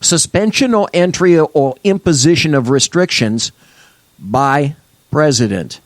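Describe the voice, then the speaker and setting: A man co-hosting a loose talk radio show, bantering and then reading out legal text in a steady, earnest way. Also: a little high, unhurried, no echo.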